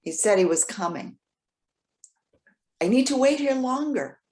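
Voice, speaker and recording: Woman acting a stubborn elderly mother, animated pitch. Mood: bitter, hurt. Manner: curt, sullen, halting when pleading. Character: elderly stubborn lovelorn mother, resentful and dejected. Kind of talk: readers theater stage acting.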